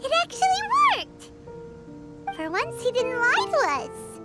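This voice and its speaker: A woman voicing a small, childlike fairy companion in a video game, squeaky, excitable and quick to exclaim indignantly. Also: exaggerated voice. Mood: excited, curious. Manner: exclamatory, refers to self in third person. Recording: music behind the voice.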